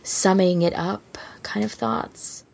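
A woman's voice: medium pitch, steady tone, slow pace, vocal fry, a little mellow. A woman co-hosting a podcast, chatting casually and informally with gushing fan enthusiasm. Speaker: podcast co-host.

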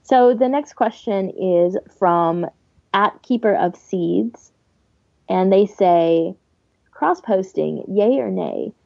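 A woman talks casually as a podcast co-host. Her voice is dark and relaxed with noticeable vocal fry, and it sits slightly low. The recording is dry and a little dull. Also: slow pace, no room sound. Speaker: podcast co-host reading listener questions.

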